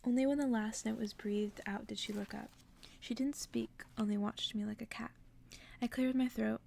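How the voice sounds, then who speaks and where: A woman softly reading a bedtime story in ASMR style, partly whispered, with casual asides. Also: fairly high pitch, steady tone, unhurried, gravelly, long pauses, a little hiss or hum. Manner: hushed, drifting into casual asides. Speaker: host reading a book aloud on an ASMR channel.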